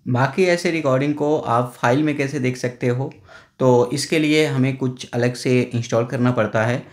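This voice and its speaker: A man's voice: mid-range voice, moderate pace. A man hosting a casual tech tutorial, talking through phone settings step by step with a chatty, explaining manner. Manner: chatty, explains step by step with frequent "na" and "thik hai". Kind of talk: casual phone how-to voice-over. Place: indoors at a table, filming a phone on a stand.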